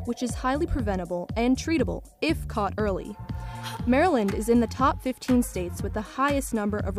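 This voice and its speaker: A woman reading a scripted public service announcement in a clear, even, informative way. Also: medium pitch.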